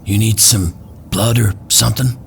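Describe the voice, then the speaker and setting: Gravelly, caring rough guy. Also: lowish voice, fairly even intonation, normal speed, a little hiss or hum. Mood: worried. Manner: casual, hesitant. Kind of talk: audio drama acting.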